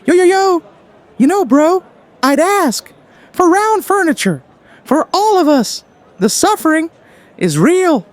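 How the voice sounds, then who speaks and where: A man voicing a squeaky, plaintive hip-hop bro puppet with overdramatic, slangy drawling. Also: very animated, slow, pauses often, exaggerated voice.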